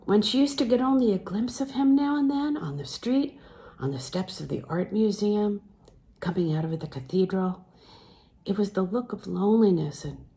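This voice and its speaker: A woman reading a literary novel aloud in a measured, phrase-by-phrase way, letting phrase endings fall soft and breathy. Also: on the low side. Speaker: audiobook reader.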